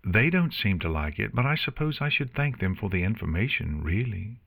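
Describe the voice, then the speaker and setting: A man's voice: fairly low pitch, very animated, moderate pace, gravelly, fairly bright tone, husky, dry recording, full character voice. A man voicing a polite, hospitable and forthright young pony mare in a storybook.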